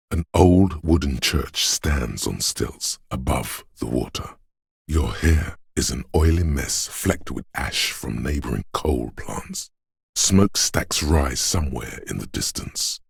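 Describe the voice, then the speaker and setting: A man voicing a brooding, otherworldly inner voice that senses a rainy city, narrating a game in solemn, measured, poetic lines with a husky, gravelly voice. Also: rumbling low, dry recording, studio quality, full character voice. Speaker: video game voice actor. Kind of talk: slow, poetic second-person game narration.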